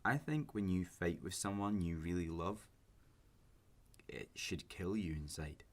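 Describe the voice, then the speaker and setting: A young man playing a grieving, intense romantic on a first date in a naturalistic sci-fi audio drama, earnest and halting, with a husky voice. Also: deep voice. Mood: bitter. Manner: earnest, halting, flares into agitation.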